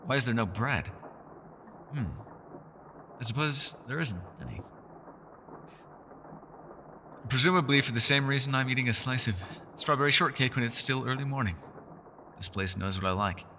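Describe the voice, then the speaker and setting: A man voicing a centuries-old elf in a fantasy roleplay, gentle, socially awkward and chatty, with formal, old-fashioned, apologetic phrasing. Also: mid-range voice, brisk, a little hiss or hum. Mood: cordial. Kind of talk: fantasy ASMR roleplay voice acting.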